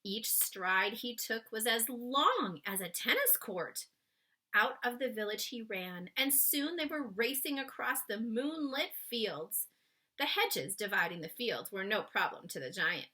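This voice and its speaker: A woman reading a children's story aloud in an expressive, suspenseful way, stretching key words for emphasis and chatting to young listeners. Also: a little high, unhurried. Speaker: children's book read-aloud reader.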